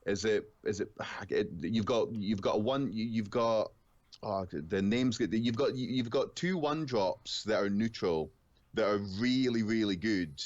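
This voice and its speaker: A man speaking as a guest on a gaming podcast over a remote call, loud and stammering, often repeating his words as he thinks aloud. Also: on the low side, measured pace, lo-fi sound. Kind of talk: casual gaming chat.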